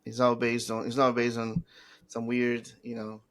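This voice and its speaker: A man from Brazil with a Brazilian Portuguese accent, hosting a podcast and asking rambling, excited questions with many restarts. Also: medium pitch, moderate pace. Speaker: podcast host. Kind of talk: casual podcast interview.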